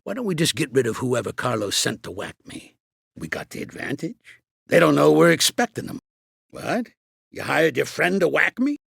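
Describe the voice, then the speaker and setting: Older gangster, American accent, heavy gravel and fry, dry close sound, gruff, slangy, video game character. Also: male voice, fairly high pitch, sing-song, moderate pace, fairly bright tone, no echo, full character voice. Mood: irritated, alarmed. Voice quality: raspy, gravelly. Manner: blunt, slangy, commanding. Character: gruff, streetwise older mobster mentoring a young fighter. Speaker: voice actor.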